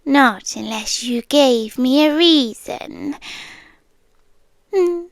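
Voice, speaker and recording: An ancient, possessive spider-woman girlfriend, played by a woman, speaks in a high British voice that keeps slipping into breathy whispers. She is very slow and deliberate, doting yet quietly menacing. The ASMR roleplay is recorded close and dry, intimate in the ear. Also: expressive, dry recording, exaggerated voice. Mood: soothing, menacing. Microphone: close dry microphone.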